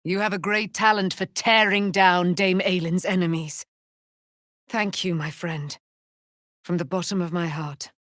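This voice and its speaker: A woman's voice: fairly low pitch, fairly even intonation, measured pace, a bit bright, no echo, studio quality. An actress voicing a proud, vengeful immortal warrior woman in a fantasy game, with grand, archaic, declamatory delivery. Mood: grand, gracious. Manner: declamatory, archaic, grand, speaks of herself in third person. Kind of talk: dramatic fantasy game acting.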